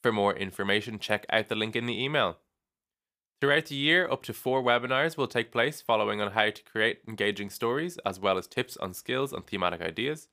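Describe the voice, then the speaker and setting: A young man reads a weekly student union bulletin. His voice sits fairly low but comes across bright and forceful, and he keeps it brisk and upbeat, lifting at the start of each item. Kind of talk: scripted weekly bulletin reading.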